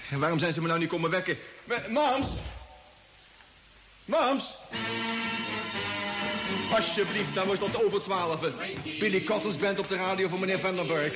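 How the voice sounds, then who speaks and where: Acteur als opgewonden, verwarde jonge ruimtevaarder, hoge stem. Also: male voice, high voice, normal speed, long pauses, music in the background, close to an everyday voice. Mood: irritated. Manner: stammering, indignant, agitated. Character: Jimmy, excitable, bewildered working-class young space traveller. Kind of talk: old radio drama acting. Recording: echoey, noisy old radio play recording.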